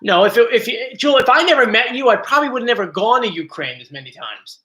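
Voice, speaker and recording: A man's voice: very high-pitched, expressive, fast talker, pushed and bright. A man hosting a casual live stream from home, chatty and joking, easily drifting off topic. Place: home, bedroom area. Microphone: computer mic.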